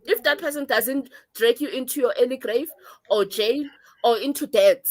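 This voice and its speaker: Guest, South African woman, 30s, earnest, advising, slightly high voice. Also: normal speed. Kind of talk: casual chat.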